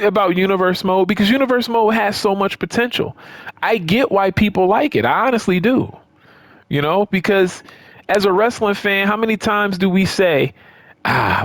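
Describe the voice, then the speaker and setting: A man's voice: sits high, a bit bright, no echo. A man talking casually and off the cuff to his channel's viewers, rambling conversationally and repeating phrases for emphasis. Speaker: YouTube host of a wrestling game and action figure channel. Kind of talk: casual unscripted chat to viewers.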